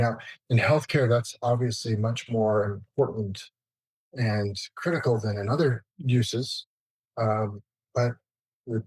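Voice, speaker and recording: A man's voice: mid-range voice, breathy edge, dry recording, poor audio. Man explaining in a dry, close recording. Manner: informal, explanatory, listing points. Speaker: interview guest, information security executive. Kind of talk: conversational expert explanation.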